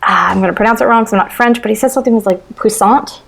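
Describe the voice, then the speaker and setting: A woman's voice: on the high side, rapid-fire, vocal fry. A woman speaking as a podcast guest in a casual book discussion, wry and sarcastic, swearing casually. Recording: noticeable background noise.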